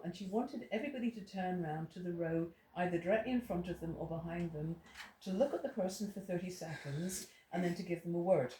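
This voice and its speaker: A woman's voice: on the low side, dark tone. Irish-born woman leading a bible study, rambling storyteller, echoey room w/ faint hiss. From Ireland. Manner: digressive, storytelling, many restarts. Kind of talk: teaching to a small group. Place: indoor room with a small group. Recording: roomy echo, faint noise.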